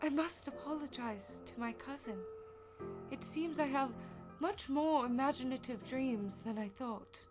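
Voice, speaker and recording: Radio drama sweet young Victorian lady: high voice, drowsy, unhurried, music behind. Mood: dreamy. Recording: loud music under the voice.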